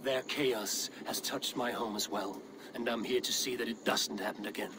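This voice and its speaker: An actor playing a confident, blunt Viking clan warrior with a dry, challenging manner in a game cutscene. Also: male voice, on the low side, fairly even intonation, normal speed, noisy background, lightly acted. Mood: steady, frank. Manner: dry, challenging, self-assured. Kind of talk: video game cutscene acting.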